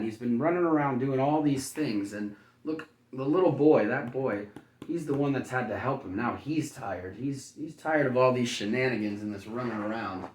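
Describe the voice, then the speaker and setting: A man's mid-pitched voice comes through roomy and a little way from the microphone. He reads a picture book to young children in a teacherly way, slipping into folksy, playful commentary between the story sentences. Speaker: kindergarten teacher reading a picture book aloud. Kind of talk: children's read-aloud with casual asides. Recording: roomy echo, distant microphone.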